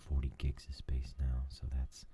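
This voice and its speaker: A man hosting a new ASMR gaming channel, talking in a soft whisper and rambling with lots of fillers. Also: rumbling low, monotone, normal speed, slight background noise.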